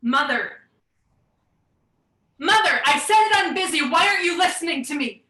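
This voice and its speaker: Echoey room, woman playing uptight grown daughter, sharp bright voice, exasperated, clipped protests, stage acting. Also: sits high, expressive, moderate pace. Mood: exasperated, defensive. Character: exasperated, uptight adult daughter and busy mother. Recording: echoey room, distant from mic.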